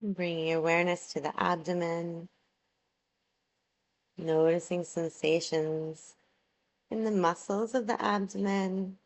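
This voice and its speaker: A woman guiding a yoga relaxation meditation, giving soothing, measured instructions with long pauses between phrases. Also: lowish voice, steady tone, slight background noise, poor audio.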